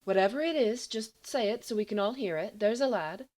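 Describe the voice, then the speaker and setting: A woman's voice: medium pitch, animated, a little fast, darkish tone, natural voice. A woman voicing a sceptical school matron in an audiobook, firm and coaxing, trying to sound reassuring. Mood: soothing. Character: stern but soothing, sceptical school matron. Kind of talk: audiobook character voice.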